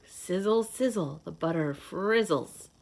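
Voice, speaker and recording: A woman reading a children's picture book aloud in a playful, expressive, sing-song way, adding simple asides to her young listeners. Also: on the low side, slow pace, creaky, poor audio. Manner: simple child-directed explaining. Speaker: children's read-aloud host, teacher.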